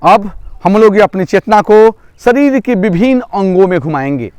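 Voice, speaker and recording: A man's voice: high-pitched, animated, normal speed, a little mellow, smooth, no echo. A man guiding a yoga nidra relaxation as instructor, giving repetitive, step-by-step instructions. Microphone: microphone through a loudspeaker.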